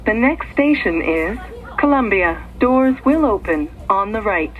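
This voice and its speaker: A woman reading scripted transit announcements in a measured, clearly segmented way, as a train announcer. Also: mid-range voice, lively intonation. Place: played over speakers inside a train. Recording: tinny band-limited speaker, noisy train interior. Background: train and vehicle noise.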